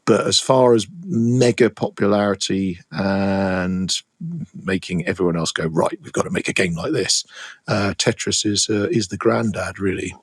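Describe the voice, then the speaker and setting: A man's voice: low-pitched, normal speed, breathy edge, no echo. A middle-aged man hosting a podcast in casual chat, rambling with many restarts. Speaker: podcast host.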